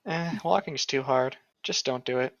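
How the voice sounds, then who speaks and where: Deadpan young man, slightly muffled dry recording. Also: mid-range voice, normal speed, no echo. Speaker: co-host reading and mocking a book. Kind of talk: casual banter and mock read-aloud.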